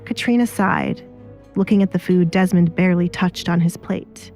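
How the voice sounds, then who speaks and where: A woman narrates a scripted story for an audio drama. Her pitch sits a little low, and music plays faintly behind her. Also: moderate pace, darkish tone.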